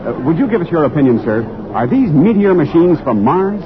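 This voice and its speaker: An actor playing an excited, formal field reporter in an old radio drama, urgent and polite as he interviews. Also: fairly high pitch, fairly quick. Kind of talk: 1930s-50s radio drama news commentary. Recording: muffled old radio, noisy crowd and machinery behind.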